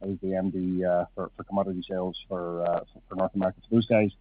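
A middle-aged man with an Irish accent, a company CEO answering questions at a conference presentation, halting with many restarts. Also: sits low, little pitch movement, dry recording. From Ireland. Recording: husky voice over a tinny phone-like line.

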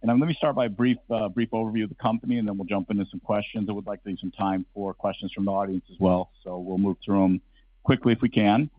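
A man moderating a conference session, introducing the company and asking questions briskly. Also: fairly low pitch, steady tone, no room sound. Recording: dry, dull lo-fi sound.

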